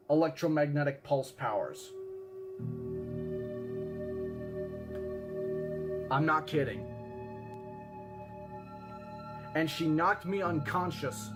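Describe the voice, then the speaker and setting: A man playing an earnest eyewitness who tells a grave disaster theory in an insistent, suspenseful way with dramatic pauses. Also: a little high, natural voice. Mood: grave, recounting. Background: music behind the voice.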